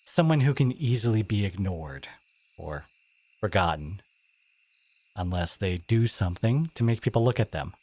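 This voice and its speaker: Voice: low-pitched, lively intonation, moderate pace, long pauses, darkish tone, slightly rough. An actor playing a brash teenage school bully who secretly cares, in an exasperated, confrontational audio drama monologue addressed to the listener. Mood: bitter, wounded. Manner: exasperated, confrontational, sighing, emphatic.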